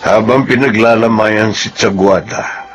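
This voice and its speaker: An actor playing a gentle, loyal male servant and childhood friend in a radio drama, coaxing and consoling with homely hesitations. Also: fairly low pitch, steady tone, unhurried, close to an everyday voice.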